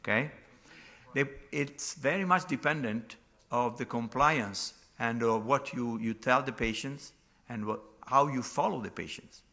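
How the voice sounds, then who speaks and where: Gravelly Portuguese-accented man answering questions. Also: mid-range voice, unhurried, sharp tone. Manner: non-native phrasing, restarts, emphatic. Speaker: session chair and speaker. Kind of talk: conference Q&A answer.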